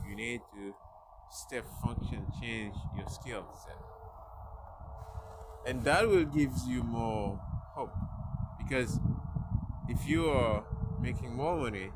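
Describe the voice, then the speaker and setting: A man speaking English as a later language, giving an earnest motivational talk to his viewers, with many restarts and pauses. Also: mid-range voice, heavy background noise. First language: not English. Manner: halting, restarts words, earnest. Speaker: vlogger.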